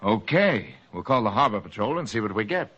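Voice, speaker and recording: Bright, forceful police captain. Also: male voice, lowish voice, big pitch swings. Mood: confident, decisive. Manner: brisk, authoritative, clipped questioning. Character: brisk, confident male police captain running a case. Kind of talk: old-time radio crime drama acting. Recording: noisy vintage radio recording.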